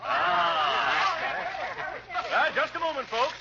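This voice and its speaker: A man's voice: very high voice, big pitch swings, slow pace, heavily acted. A man playing a genial party host gathering his guests in an old radio comedy. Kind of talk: 1940s radio comedy acting. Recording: muffled, very noisy old radio recording.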